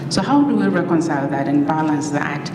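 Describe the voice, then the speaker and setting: Audience member, woman, low voice, earnest question, picked up from far off in a hall. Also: expressive, a little fast. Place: conference hall. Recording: distant echoey hall with noise.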